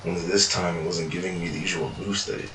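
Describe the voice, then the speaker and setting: A man's voice: low-pitched, fairly even intonation, fast talker, bright, forceful tone. A man narrating a first-person fiction journal in a weary, world-worn way, swearing often. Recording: echoey room, distant mic, noisy.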